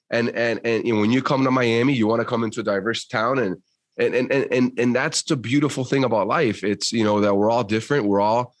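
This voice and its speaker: A man with a Cuban American accent, a podcast guest who talks in an emphatic, motivational way. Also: medium pitch. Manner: emphatic, motivational, says dude. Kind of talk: casual conversation.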